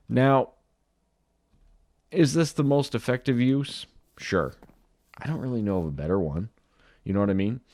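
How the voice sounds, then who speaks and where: Low-voiced man chatting through a game. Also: dry recording. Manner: relaxed, chatty, talks to viewers while playing. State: slightly dry, scratchy throat. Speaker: gaming video host. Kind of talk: casual Minecraft modpack commentary.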